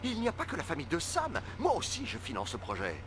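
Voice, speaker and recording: A man's voice: a little high, wildly swooping pitch, fast, background noise. An actor playing a pompous, indignant middle-aged male academic in video game dialogue. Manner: pompous, affronted.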